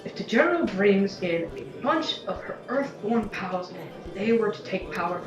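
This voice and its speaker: A woman's voice: medium pitch, animated, moderate pace, distant mic, close to an everyday voice. Wry merchant played by a woman, echoey. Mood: bitter. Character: cynical, ambitious self-made merchant councillor. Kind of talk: audio drama dialogue. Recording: echoey, dull recording with light music.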